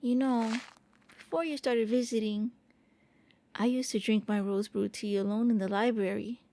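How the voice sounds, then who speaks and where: A woman voicing a gentle, shy vampire prince in an intimate ASMR roleplay, speaking softly and a little hesitantly. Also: fairly high pitch, fairly even intonation, normal speed, slight background noise, lightly acted. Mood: warm, eager. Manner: gentle, hesitant, intimate, stammering when flustered. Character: gentle, shy, eager young-looking immortal vampire prince. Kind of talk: soft ASMR roleplay monologue.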